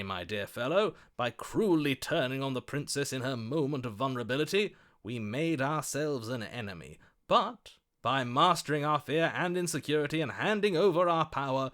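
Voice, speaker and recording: A man's voice: medium pitch, lively intonation, normal speed, a bit bright, lightly acted. Man playing a lovestruck, theatrical romantic, swooping delivery. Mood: grandly romantic. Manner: theatrical, grandiloquent. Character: lovestruck, florid, passionate male romantic, an inner voice. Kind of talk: video game character acting.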